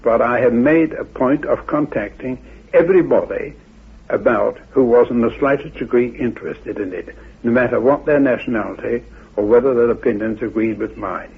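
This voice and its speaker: A man's voice: mid-range voice, moderate pace, creaky, breathy edge. A man in his eighties, an elderly scholar answering in an interview and recounting his life. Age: 80s. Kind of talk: interview, telling life story. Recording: narrow muffled old radio recording.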